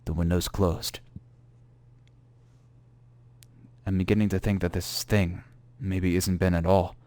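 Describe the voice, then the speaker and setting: Man acting low-voiced, haunted, paranoid young man. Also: little pitch movement, normal speed, darkish tone, no room sound, close to an everyday voice. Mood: uneasy, threatened. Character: paranoid, frightened young man haunted through his computer. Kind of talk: creepypasta dramatic reading, diary-like narration. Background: music.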